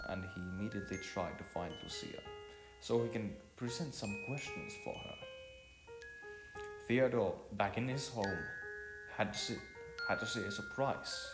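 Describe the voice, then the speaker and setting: A man reading a story aloud as an amateur narrator, haltingly, often repeating and correcting his words. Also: on the low side, echoey room.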